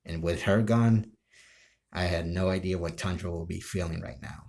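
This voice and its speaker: A man telling a story casually, in halting, broken phrases with frequent restarts. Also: low-pitched, vocal fry, darkish tone. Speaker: amateur video creator telling his role-play ideas.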